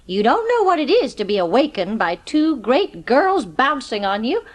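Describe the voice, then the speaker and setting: A woman playing a crusty, haughty old lady who is gruff but softening, in an audiobook drama. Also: sits high, big pitch swings, moderate pace. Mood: stiff, then amused.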